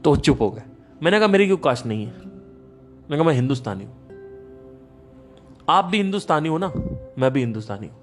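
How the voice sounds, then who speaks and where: A man hosting a podcast, giving a casual spiritual talk in an emphatic, animated storytelling manner. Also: a little high, a little fast, long pauses, faint music behind. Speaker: podcast host.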